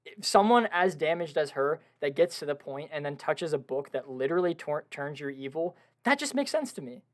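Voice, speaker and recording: A man's voice: fairly high pitch, expressive, a little fast. A young man co-hosting a casual podcast, talking about a film with eager, gushing enthusiasm and frequent laughs. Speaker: podcast co-host. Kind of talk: casual film review chat. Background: washing machine at home.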